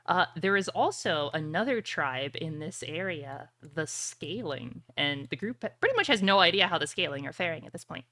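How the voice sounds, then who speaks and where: A woman's voice: lowish voice, sharp tone, no echo. A woman running a tabletop roleplay game as game master, narrating casually over music.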